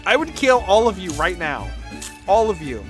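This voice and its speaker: High, animated male streamer voice. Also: normal speed, faint music behind. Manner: blunt, sweary, mock-exasperated. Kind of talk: casual livestream chat reacting to videos.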